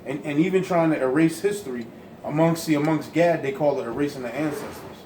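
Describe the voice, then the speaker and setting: A man's voice: a little high, fairly quick. A man giving an emphatic, insistent teaching talk. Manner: forceful, insistent. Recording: echoey room with background noise.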